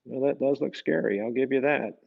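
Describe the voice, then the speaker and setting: A man reading a picture book to children, gently explaining it and often tagging questions onto his remarks. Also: medium pitch, brisk, creaky, dull audio. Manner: gentle, explanatory, asks the listeners tag questions. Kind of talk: storybook read-aloud with conversational asides.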